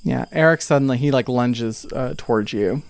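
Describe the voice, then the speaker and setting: A man improvising tabletop game narration as game master, telling the story in a halting, conversational way. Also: medium pitch, gravelly, dry recording.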